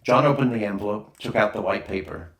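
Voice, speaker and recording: A man's voice: lowish voice, brisk, a bit bright. A man reading a children's adventure story aloud as a scripted audiobook narration. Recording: roomy, echoing voice recording.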